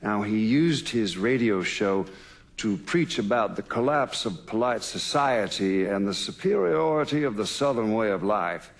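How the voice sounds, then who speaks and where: A man acting a sober official in a game, explaining events in a measured documentary style. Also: lowish voice, expressive, creaky. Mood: sober, explanatory. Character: sober male official recounting a racist scandal.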